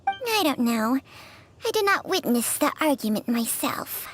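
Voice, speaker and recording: A composed, ancient female snake spirit, speaking slowly and with deliberate care. Her voice sits high and rises and falls in a lilting way. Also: big pitch swings, a little hiss or hum. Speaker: game character. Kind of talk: video game voice acting.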